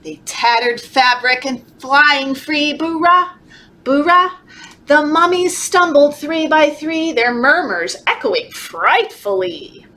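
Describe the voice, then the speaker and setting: A woman leading a children's story time, reading aloud in an animated, encouraging, sing-song way, as if talking to toddlers. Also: high-pitched, slow. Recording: clearly noisy background.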